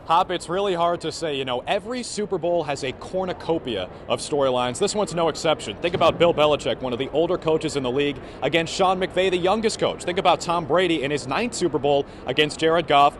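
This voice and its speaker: A man's voice: fairly high pitch, a little fast, hardly any pauses. A man in his early twenties working as a sportscaster, delivering play-by-play commentary and sports reports. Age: early 20s. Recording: clear background noise from the venue.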